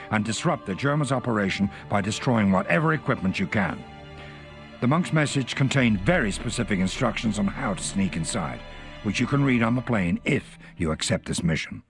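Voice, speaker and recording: An actor playing a measured, concerned Allied intelligence officer briefing an agent in a video game. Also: male voice, low-pitched. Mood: cautious, businesslike. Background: background music.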